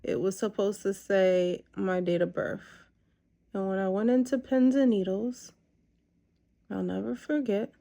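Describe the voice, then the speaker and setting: A woman's voice: mid-range voice, steady tone, unhurried, gravelly, dark tone. A woman in her thirties chatting casually as she does her makeup, rambling with lots of ums. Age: 30s. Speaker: makeup vlogger. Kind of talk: casual chat while doing makeup.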